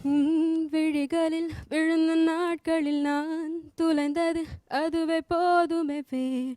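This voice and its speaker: A woman's voice: very high-pitched, little pitch movement, slow pace, darkish tone, no echo, studio quality, faint music behind. A woman contestant singing film songs at an audition.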